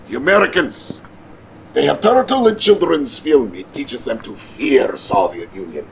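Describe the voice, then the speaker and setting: Pompous Russian premier, lively and gravelly. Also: male voice, mid-range voice, slow pace, long pauses, a little hiss or hum, rough recording. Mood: gleeful, genial. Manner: pompous, mock-courteous, savouring words. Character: scheming, theatrical middle-aged Soviet premier, smugly genial menace. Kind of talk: campy cinematic game cutscene acting. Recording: music underneath.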